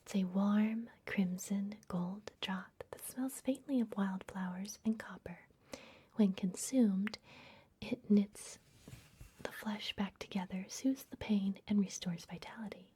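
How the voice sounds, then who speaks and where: A woman playing a secretive, soothing vampire librarian in a fantasy ASMR roleplay, speaking in a soft, breathy whisper close to the microphone. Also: mid-range voice, steady tone, a little hiss or hum, natural voice. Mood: soothing, instructive. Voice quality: breathy, mostly unvoiced whisper. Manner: soft, gentle, unhurried, confiding.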